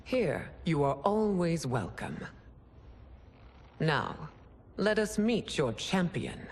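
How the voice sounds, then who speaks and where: A woman playing an imperious fantasy empress in a cinematic game, speaking in a formal, measured, commanding way. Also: on the low side, big pitch swings, a little mellow, heavily acted. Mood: stately welcome. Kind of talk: cinematic video game acting.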